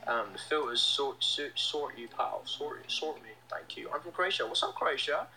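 A man's voice: a little high, lively intonation, normal speed, sharp tone, a little hiss or hum, rough recording. Upbeat young man on a phone livestream. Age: young adult. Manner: upbeat, chatty, reads out fan comments. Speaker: band member hosting a livestream. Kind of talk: casual livestream chat reading comments. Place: indoors, small room. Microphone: phone held close to face.

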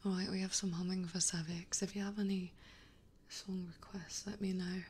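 A young woman streamer whispering softly and gently, chatting with viewers in an ASMR livestream. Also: on the low side, flat delivery, moderate pace, slight background noise. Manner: soft whispering, gentle and reassuring.

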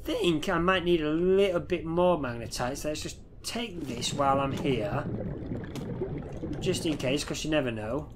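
A man's voice: on the high side, a little slow, music in the background. A man in his thirties with a head cold and a nasal voice, chatting casually over a game and swearing at the creatures on screen. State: head cold, stuffy nose. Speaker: gameplay streamer. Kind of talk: casual gameplay commentary. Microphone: headset.

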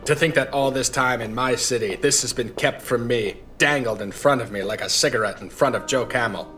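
An actor playing a drunk, disillusioned veteran newspaper reporter with world-weary noir patter in an audio drama. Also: male voice, medium pitch, brisk, pushed and bright, lightly acted. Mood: weary, bitter. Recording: faint music underneath.

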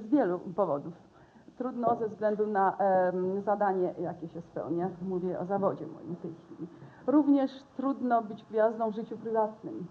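A middle-aged woman, an opera singer, answering interview questions thoughtfully with pauses, in an ornate palace room. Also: medium pitch. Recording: tinny lo-fi 1970s TV sound with faint hiss.

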